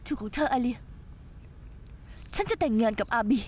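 A woman's voice: on the high side, sing-song, moderate pace, darkish tone. An actress dubbing a vengeful, obsessive young woman who threatens and gloats in a TV drama. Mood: gloating. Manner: menacing, gloating. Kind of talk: dubbed TV drama.

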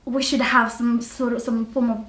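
A woman's voice: on the high side, little pitch movement, moderate pace, fairly bright tone. A young woman chatting with her brother in a bedroom, giggly and chatty, filmed by a camera a few feet away. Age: young adult. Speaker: sister of the host, guest. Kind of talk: casual sibling banter. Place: bedroom, indoors. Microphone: camera on a tripod, a few feet away. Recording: echoey bedroom, faint hiss.

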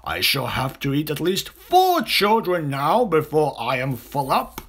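A narrator voicing a sly, greedy, enormous male crocodile in a children's storybook, with slow, gloating menace. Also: mid-range voice, huge pitch swings, a bit bright, a little hiss or hum, heavily acted. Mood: hungry, grumbling.

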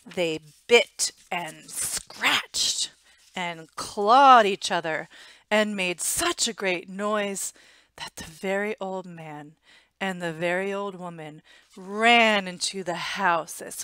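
A woman's voice: medium pitch, takes it slowly, pushed and bright, clear, resonant tone, dry recording. A woman in her thirties reading aloud expressively for young children, with dramatic emphasis and asides to listeners, on a headset mic indoors. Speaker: storytime reader. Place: small indoor room at home. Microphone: headset boom mic.

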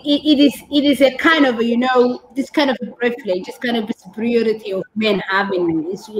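Higher-pitched Somali woman, halting speech. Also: low-quality recording. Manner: halting, repeats and rephrases phrases. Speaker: guest doctor and women's rights activist. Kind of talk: interview answers on a live stream. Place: curtained room indoors, on a video call. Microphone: video call device mic.

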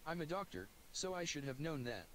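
A man's voice: fairly high pitch, animated, a little fast, fairly bright tone, slight background noise, poor audio. A man voicing a friendly, scatterbrained young woman doctor while reading a visual novel aloud. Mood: chatty.

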